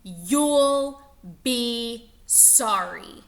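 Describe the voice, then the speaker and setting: A woman's voice: fairly high pitch, lively intonation, very slow, a bit bright, heavily acted. A woman voicing a nasty, strict substitute teacher in a storybook, snapping out clipped commands. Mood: strict, threatening. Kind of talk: storybook character voice.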